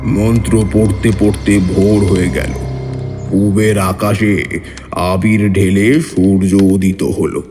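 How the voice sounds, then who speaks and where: An actor playing a seasoned male tantric mystic who recounts his adventures in a measured, solemn way for a horror audio drama. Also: on the low side, dark tone. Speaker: storyteller.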